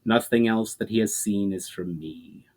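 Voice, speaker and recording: A man voicing a powerful, coolly patient adversary with measured, condescending menace in a fantasy story reading. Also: lowish voice, a little mellow, close to an everyday voice. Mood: cool, final. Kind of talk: dramatic fantasy audiobook reading.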